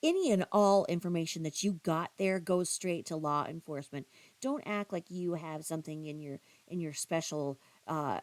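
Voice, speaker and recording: A woman giving critical, confrontational reaction commentary, scornful and halting, often repeating her phrases. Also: sits low, a little mellow. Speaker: commentator reacting to another creator's livestream.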